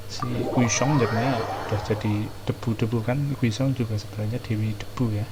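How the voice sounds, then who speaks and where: An Indonesian-accented man comments casually on a game he is playing. His voice is medium in pitch, informal and halting, with repeated phrases. Loud noise runs behind him. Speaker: gaming streamer.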